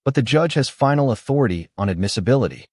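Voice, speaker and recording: A man's voice: medium pitch, animated, fast talker, a little mellow. A man reading scripted explanatory narration.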